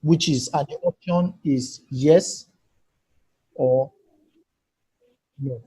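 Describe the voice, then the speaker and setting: A husky, mellow-toned man runs a live video-call workshop, stepping through a data tool on screen. He talks patiently and keeps checking in with attendees by name. His voice comes through a computer mic on the call. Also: on the high side, drawn-out words, low-quality recording. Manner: patient, asks participants for feedback by name. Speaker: online workshop trainer. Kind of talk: live screen-share tutorial.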